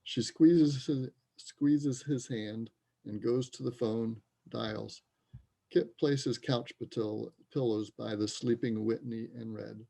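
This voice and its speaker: A man's voice: mid-range voice, fairly even intonation, slow pace, gravelly, dark tone, breathy edge. A man reading scripted stage directions as the narrator of a reader's theater play.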